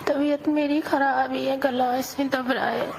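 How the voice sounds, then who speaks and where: A woman's voice: high voice, flat delivery, normal speed, noisy background. A young woman playing a hesitant newlywed bride who confides plaintively in her lover, as if on an intimate phone call. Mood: plaintive, defensive. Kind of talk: intimate phone-call storytelling in audio drama.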